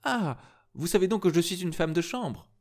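A man's voice: expressive, moderate pace, a little mellow. A man voicing a curt, teasing young chambermaid in an audiobook reading. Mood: sharp. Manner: curt and teasing, high put-on voice. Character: sharp-tongued young woman, a chambermaid.

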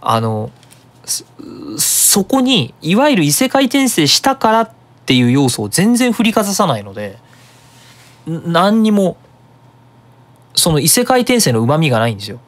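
Animated web radio host with lively pitch. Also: moderate pace. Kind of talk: casual explanatory monologue on a web radio show.

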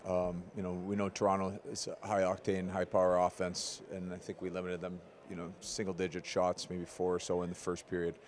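A man in his forties, a head coach, giving a measured, analytical postgame interview with frequent ums, into a handheld mic in an arena corridor. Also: low-pitched, monotone, a little mellow, slight background noise.

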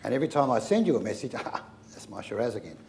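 An older man talks on a discussion panel with a gravelly edge to his voice. He explains his points through conversational anecdotes. A lapel mic picks him up over faint room noise. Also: medium pitch, sing-song, racing, a little mellow. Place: event studio with branded backdrop.

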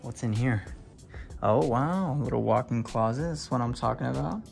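A young man filming a casual home tour on a handheld phone, talking to viewers with excited exclamations and lots of fillers. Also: medium pitch, normal speed, gravelly, faint music behind. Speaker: real estate video host. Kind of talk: casual walkthrough narration. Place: inside a large empty house.

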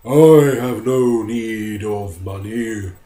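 A man's voice: warm tone, reverberant. A man voicing a huge, menacing talking bear in a storybook reading, drawn out and growling. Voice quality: slow, booming, growling.

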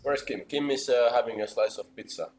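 A man's voice: medium pitch, brisk, reverberant, soft background music. A young man from Finland, a casino streamer chatting casually and answering viewers' chat messages. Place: small room indoors.

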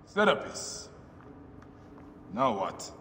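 A man playing a stern, gruff and determined ancient Egyptian warrior in a video game. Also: medium pitch, sing-song, extremely slow, bright, forceful tone, a little hiss or hum, exaggerated voice. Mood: curious, impatient. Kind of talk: video game cutscene acting.

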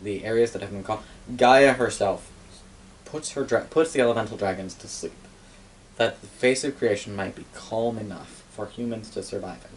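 A young man with a fairly low voice tells a story in a casual, improvised way, wry and given to swearing. He is picked up by a camera mic across a living room, with clear noise behind him. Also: moderate pace, low-quality recording. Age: young adult. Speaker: storyteller recounting game lore. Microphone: camera mic a few metres away.

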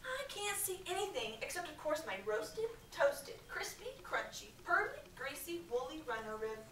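A high-pitched, scornful caveman boy from a storybook, as a woman reading aloud puts him on. He teases and jeers in exclamations, selfish and pleased with himself. The sound is distant and echoey, as in a classroom. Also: very animated, fairly bright tone. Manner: mocking, exclamatory, drawn-out questions. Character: teasing, selfish, mocking young caveman boy. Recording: echoey classroom, distant mic, faint noise.

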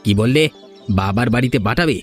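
A man's voice: mid-range voice, expressive, fairly quick, faint music behind, natural voice. A man voicing a grumbling, selfish, defensive village husband in a cartoon. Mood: grumbling, irritated. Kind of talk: Bengali cartoon dialogue.

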